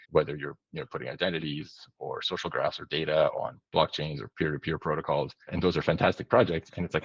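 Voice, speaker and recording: Man, 30s, from Bosnia: rock-bottom gravelly voice, fast earnest explainer, dull webcam audio. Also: rumbling low, dry recording. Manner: earnest, explanatory, many self-restarts. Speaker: startup founder, podcast guest. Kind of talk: long-form interview answer. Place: indoor room, home office. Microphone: video call, webcam framing. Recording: dull lo-fi video call audio.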